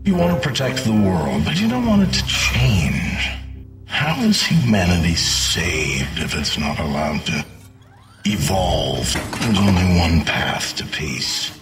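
An actor playing a calm, menacing male robot villain in a film clip. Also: deep voice, over music, exaggerated voice. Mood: cold menace. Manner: calm, grave, measured. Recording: film clip from a distance with score.

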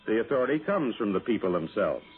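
A man's voice: lowish voice, big pitch swings, quick pace. A man reading a scripted public-service piece as a radio announcer, formal, didactic and declamatory. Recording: muffled vintage radio broadcast with music.

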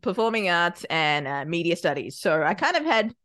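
Low-voiced chatty woman podcast guest. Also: moderate pace, no room sound, clean studio sound. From Sri Lanka, raised in Australia. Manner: chatty, expressive, acts out quotes. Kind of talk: casual interview chat.

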